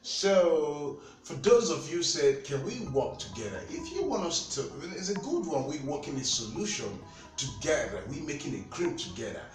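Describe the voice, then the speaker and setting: A man with a Nigerian accent talking casually to camera about skincare, animated and repetitive, speaking straight to his viewers. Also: a little high, moderate pace. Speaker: skincare seller. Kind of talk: casual product talk to camera. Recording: echoey room, mic at a distance, faint music.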